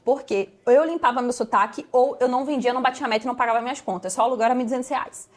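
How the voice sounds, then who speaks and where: Fast, high-voiced Brazilian woman telling her story. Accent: Brazilian Portuguese, São Paulo-smoothed. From Bahia, Brazil. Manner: animated, emphatic, repetitive for effect. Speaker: guest. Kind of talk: conversational interview storytelling.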